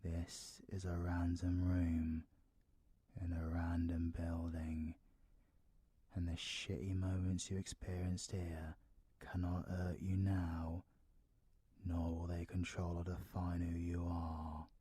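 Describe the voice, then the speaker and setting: Guy acting as a british villain bloke, deep husky voice, roguish but protective, audio roleplay. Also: completely flat delivery, slow, dark tone, full character voice. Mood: soothing, tender. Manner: sweary, teasing, colloquial. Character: roguish, protective young male supervillain, gruff yet tender.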